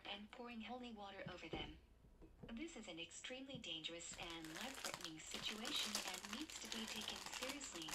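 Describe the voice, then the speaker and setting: A woman playing a news anchor who reads breaking disaster news in a formal, measured way. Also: medium pitch, noisy background, close to an everyday voice. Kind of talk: scripted breaking-news narration.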